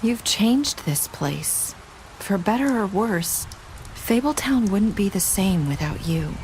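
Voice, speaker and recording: An actress playing a weary, sorrowful young woman in a video game drama, speaking in a halting, earnest, quietly intense way. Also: mid-range voice, rough recording. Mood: earnest, reassuring. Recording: steady rain noise behind the voice.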